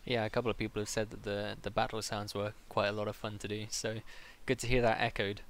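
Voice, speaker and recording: A man's voice: on the low side, level tone, fast, a bit bright, a little hiss or hum. A man hosting a live-streamed interview in a casual, conversational way, putting questions and addressing viewers. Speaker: interviewer and livestream host.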